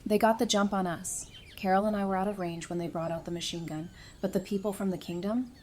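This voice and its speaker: Woman acting a weary apocalypse survivor, mid-pitched, direct, exasperated, audio drama dialogue, faint outdoor noise with birdsong. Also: steady tone, brisk, natural voice. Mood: defensive, grim.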